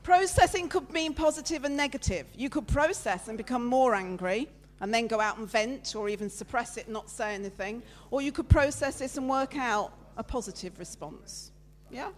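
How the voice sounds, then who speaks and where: A woman giving a lively, loud, chatty sermon with slides, talking with her congregation. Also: high-pitched. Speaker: preacher giving a talk at church. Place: church meeting room with listeners present.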